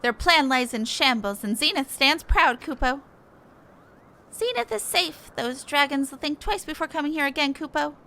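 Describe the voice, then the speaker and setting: A woman's voice: sits high, expressive, moderate pace, pushed and bright, exaggerated voice. A woman voicing a small, earnest moogle guard creature, plucky and exclaiming, reading game dialogue expressively. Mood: proud, relieved.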